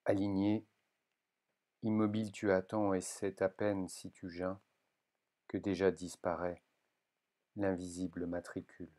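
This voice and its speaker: A man reading poems aloud in a solemn, measured manner, leaving pauses between short phrases. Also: low voice, completely flat delivery, dark tone. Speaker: poetry reader.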